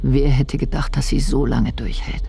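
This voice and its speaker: A regretful spymistress thinks aloud in a radio play. Her voice is hushed and reflective, with heavy creak. Soft music sits behind her. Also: a bass voice, level tone, normal speed. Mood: wondering, reflective. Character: regretful older spymistress recalling a lost agent. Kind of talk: radio drama inner monologue.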